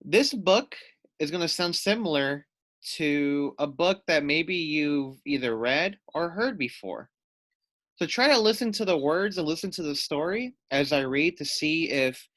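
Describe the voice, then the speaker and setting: A man reading a storybook aloud for children in an expressive, deliberate storytelling manner. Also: on the high side. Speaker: storybook reader, teacher-like.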